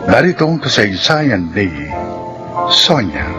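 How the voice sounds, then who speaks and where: A man's voice: on the low side, sing-song, takes it slowly. A man with a Filipino accent giving solemn, dramatic scripted narration for a radio drama. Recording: loud music bed.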